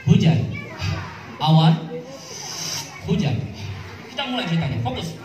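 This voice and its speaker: A woman telling religious stories to children, animated and teacherly, with questions and call-and-response. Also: fairly low pitch, unhurried, dull audio. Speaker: children's storyteller. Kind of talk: interactive religious storytelling for children. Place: outdoor mosque yard event. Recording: echoey PA, distant mic, music and crowd.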